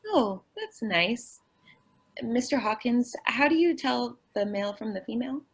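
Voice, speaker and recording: A woman's voice: fairly high pitch, lively intonation, measured pace, vocal fry, husky, heavily acted. A woman playing a sweet, naive, scatterbrained young shop clerk in an old-time radio comedy, earnest and guileless in her delivery. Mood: naive, cheerful. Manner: earnest, guileless, literal-minded.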